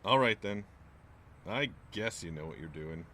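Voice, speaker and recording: A gruff, low-voiced small-town landlord. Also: male voice, animated, unhurried, sharp tone, slight background noise. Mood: stern, curt. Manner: curt, ominous, folksy. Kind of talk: audio drama acting.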